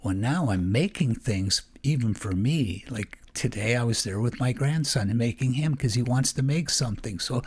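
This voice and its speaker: A man's voice: mid-range voice, animated, moderate pace, hardly any pauses, husky. Older man telling stories, rambling. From Canada. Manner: rambling, warm, with frequent restarts and laughs. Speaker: podcast guest, retired teacher. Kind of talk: casual storytelling interview.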